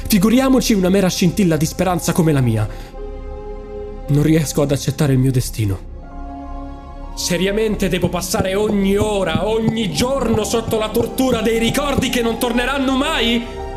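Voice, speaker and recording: A man's voice: sits high, moderate pace, music in the background, close to an everyday voice. A man playing an anguished amnesiac space pony in an Italian audio drama, theatrical, brooding and easily exasperated. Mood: despairing.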